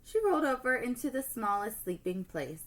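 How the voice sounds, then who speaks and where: A woman teacher reading a storybook aloud to children in an expressive storytelling manner. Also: fairly high pitch, moderate pace.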